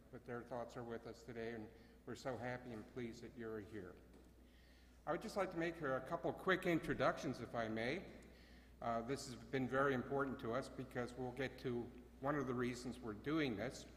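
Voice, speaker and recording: A man running a ceremony as its emcee, with a loud voice and a halting way of talking, full of uhs and self-corrections. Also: medium pitch. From Bucks County, Pennsylvania. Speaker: ceremony emcee and CAP wing commander. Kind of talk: ceremony address. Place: chapel. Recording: echoey chapel with long reverb.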